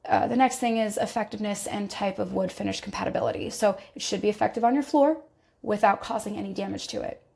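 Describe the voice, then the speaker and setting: Woman hosting her podcast, voice all scratchy and gravelly from being sick, talks casual with lots of ums. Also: mid-range voice, fast, a little mellow. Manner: conversational, with fillers and self-corrections. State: recovering from illness, slight cough. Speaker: podcast host.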